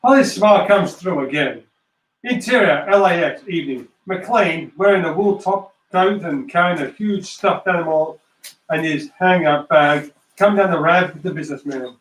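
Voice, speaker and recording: A man's voice: fairly high pitch, moderate pace, a bit bright. A man narrating a script aloud, reading out stage directions. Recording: echoey room, distant mic.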